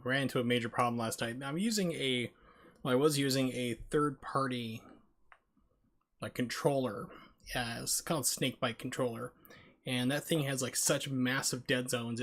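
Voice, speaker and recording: A man's voice: medium pitch, fairly bright tone. A man talking casually as the host of a live video game stream.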